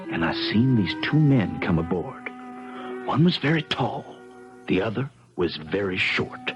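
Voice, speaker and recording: A man playing a shrewd, folksy young lawyer, telling and acting his story in a 1970s radio drama. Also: on the low side. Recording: dull 1970s radio broadcast recording.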